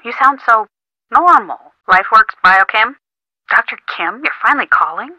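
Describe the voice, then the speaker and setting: A woman's voice: fairly high pitch, expressive, normal speed, pushed and bright, dry recording, heavily acted. A woman voicing a blunt, suspicious woman on a phone call in a video game, through a telephone filter. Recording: thin, muffled telephone line.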